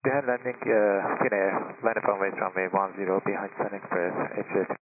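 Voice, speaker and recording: Male pilot, lowish and quick, clipped phraseology, noisy narrowband aviation radio. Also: fairly even intonation.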